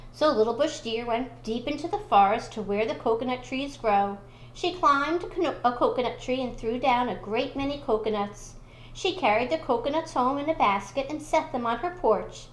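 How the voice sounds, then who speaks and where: A woman reading a children's storybook aloud in expressive narration. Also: a little high, normal speed, roomy sound, slight background noise, rough recording. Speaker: school storybook reader.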